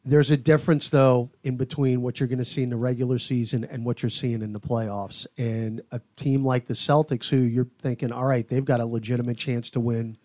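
A man's voice: mid-range voice, flat delivery, a little fast, few pauses, a little mellow, dry recording, studio quality. A man giving conversational sports analysis as a podcast panelist, speaking emphatically and repeating key points.

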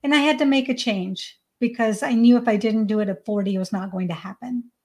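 A woman's voice: fairly high pitch, brisk, a little mellow. A woman in her forties with an American accent and Hindi as her first language, talking conversationally as an interview guest. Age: 40s. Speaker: podcast guest, parenting coach.

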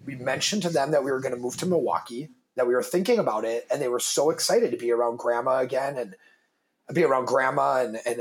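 A man in his thirties with a very deep voice, talking casually and emphatically in an interview, swearing freely and repeating words as he starts his thoughts. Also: a little hiss or hum, rough recording. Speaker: guest, business founder and father.

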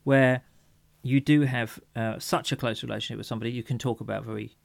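A man's voice: medium pitch, steady tone, fast, vocal fry, no echo. A man co-hosting an informal, reflective discussion, feeling his way through sentences and often restarting them. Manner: hesitant, searching for words, repeating sentence openings. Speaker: podcast co-host.

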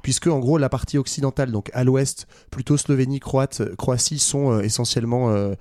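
A man's voice: mid-range voice, steady tone, normal speed, dark tone, no room sound, studio quality. A man co-hosting a casual discussion, talking in a hesitant, colloquial, digressive way. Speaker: co-host of a history discussion. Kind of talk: casual chat.

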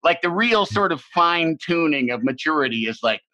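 A man's voice: a little high, lively intonation, moderate pace, pushed and bright, dry recording. A middle-aged man from the American Midwest hosting a casual, reflective conversation, rambling and restarting his sentences and swearing now and then. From Illinois, US Midwest. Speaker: podcast host. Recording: slightly muffled dry recording.

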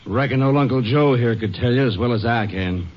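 An actor playing a hard-nosed, accusing sheriff's deputy in a 1950s radio drama. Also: male voice, mid-range voice, fairly quick, lightly acted. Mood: smug accusation. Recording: dull, faintly noisy old radio broadcast.

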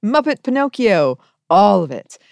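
A woman co-hosting a podcast, enthusiastic and animated, laughing as she reads listener mail. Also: mid-range voice, a little slow, creaky, no echo. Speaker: podcast co-host. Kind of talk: casual chat and reading listener mail.

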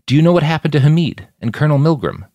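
Young male fixer, male narrator acting, audio fiction: sincere, deferential, straightforward, lifted pitch. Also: animated, racing, darkish tone, no echo. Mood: earnest, anxious. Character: earnest, respectful young local male fixer.

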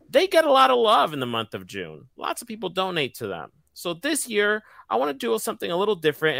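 A man's voice: on the high side, vocal fry, bright, forceful tone, dry recording. A young man hosting a podcast fact-check segment, delivering it in an emphatic, sassy way. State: recovering from lost voice. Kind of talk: scripted segment read, lively.

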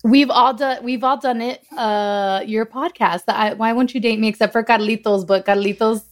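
A woman's voice: fairly high pitch, fairly even intonation, normal speed. A woman co-host chatting casually and teasing her friends, often laughing. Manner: giggly, teasing. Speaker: podcast co-host. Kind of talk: casual chat.

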